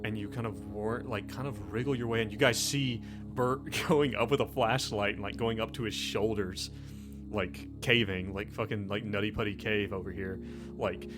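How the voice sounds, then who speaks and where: A man with a fairly low voice narrates a tabletop game as game master. He builds the scene haltingly, circling back over his phrases for suspense, while music plays clearly underneath. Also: fairly even intonation.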